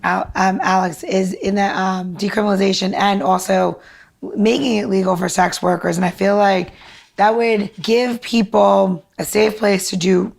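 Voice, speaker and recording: A woman's voice: mid-range voice, fairly even intonation, normal speed, gravelly. A woman podcast co-host chatting casually and explaining things conversationally, often checking in with the listener. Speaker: podcast co-host giving a cocktail tip. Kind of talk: casual chat and demonstration.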